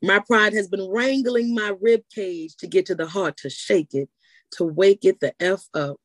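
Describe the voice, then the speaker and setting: Guest singer: New woman, mid-pitched, rambling, dry close sound. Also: normal speed, dry recording. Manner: rambling, repeats words when starting. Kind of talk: casual interview answers.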